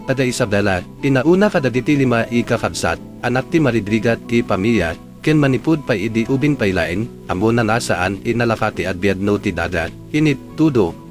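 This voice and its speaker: A man with an Ilocano Filipino accent hosting and narrating a sentimental radio drama in an earnest, emphatic way. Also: lowish voice.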